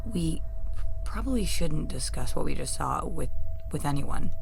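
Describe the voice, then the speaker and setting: Earnest troubled scientist, hesitant, audio drama, music behind. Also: female voice, sits low, animated, a little slow, vocal fry, darkish tone, exaggerated voice. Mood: uneasy.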